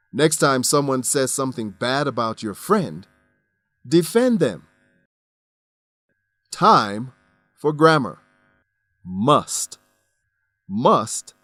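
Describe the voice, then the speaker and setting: A man presenting an English lesson in scripted narration, with a measured, didactic delivery. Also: medium pitch, fairly bright tone, no echo.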